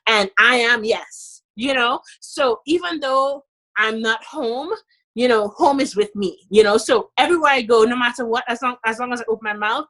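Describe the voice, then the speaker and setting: A woman's voice: on the high side, normal speed, a bit bright. A woman with a Trinidadian accent, a podcast guest talking in a casual, emphatic way, often adding "you know". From Trinidad and Tobago. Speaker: podcast guest, dentist and author. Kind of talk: casual interview chat.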